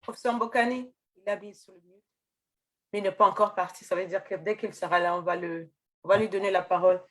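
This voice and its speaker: A woman's voice: medium pitch, steady tone, fairly quick, a bit bright. Woman running an online meeting, mid voice, lots of euh and restarts, video call audio. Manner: hesitant, many fillers and restarts, thanking speakers. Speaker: meeting moderator.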